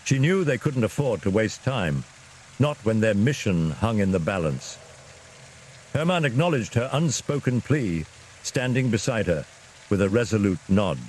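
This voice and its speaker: A man's voice: lowish voice, a little mellow, a little hiss or hum, low-quality recording. A man narrating a scripted fantasy story in a dramatic storytelling style.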